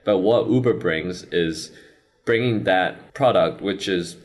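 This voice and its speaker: A young man from China and Hong Kong answering interview questions conversationally, pausing mid-sentence to find his words. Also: sits low. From born in China, early childhood in Hong Kong, then US. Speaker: interview guest, business expansion manager. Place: sit-down interview in Shenzhen.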